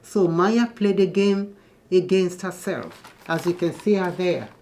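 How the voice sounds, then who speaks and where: A woman's voice: fairly low pitch, a little slow, mellow, a little hiss or hum. A woman reading a children's picture book aloud in a teacherly manner, explaining things to young listeners. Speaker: guest storybook reader.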